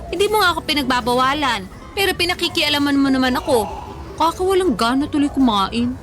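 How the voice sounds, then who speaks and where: A high-pitched young Filipina, expressive and colloquial in a radio drama. She is a playful, stubborn foodie with a whiny, sensitive streak, and music plays underneath. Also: brisk. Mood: whiny, hungry. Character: sensitive, food-loving plump young woman, playful and stubborn. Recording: music bed under the dialogue.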